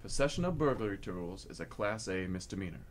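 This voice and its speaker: A man's voice: sits low, animated, brisk. A man acting in a comic radio play as a sardonic, unimpressed policeman, dry and sceptical in manner. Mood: sarcastic, stern.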